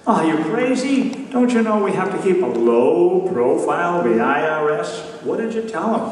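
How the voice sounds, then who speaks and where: A man's voice: high voice, very animated, moderate pace. A man playing a hapless, nervous husband in an old-time radio comedy, with a theatrical delivery on a theater stage. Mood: alarmed, exasperated. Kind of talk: 1940s radio comedy acting. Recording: echoey hall from far off, noisy.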